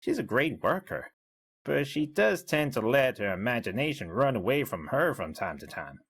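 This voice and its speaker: Audio story: male reader as wry small-town B&B owner, husky, mid-pitched, very animated and chatty. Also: normal speed, exaggerated voice. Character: chatty, mildly skeptical small-town bed-and-breakfast owner. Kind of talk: first-person diary narration in an audio story.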